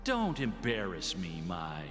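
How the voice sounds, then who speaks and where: An actor playing a cold, mocking slave master in a video game, condescending and menacing in manner. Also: male voice, low-pitched, wildly swooping pitch, slow, long reverb tail, music in the background, exaggerated voice. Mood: menacing, contemptuous. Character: cold, mocking slave master toying with his human property. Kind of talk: dramatic video game voice acting.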